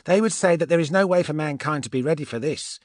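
A man's voice: on the high side, fast talker, no echo, studio quality, voice pushed up, natural voice. A man voicing a cool, mocking gene witch woman in a science fiction audiobook, speaking in a wry, portentous and self-assured manner. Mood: solemn, reflective. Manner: wry, portentous, sure of herself.

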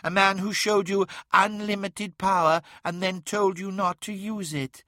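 A man's voice: sits high, steady tone, a little slow, bright, forceful tone, no room sound, clean studio sound, pitched above the performer's own voice. A man playing an ancient, boastful demon god, speaking in a grandiose, taunting and commanding way in a fantasy audiobook. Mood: tempting, scornful.

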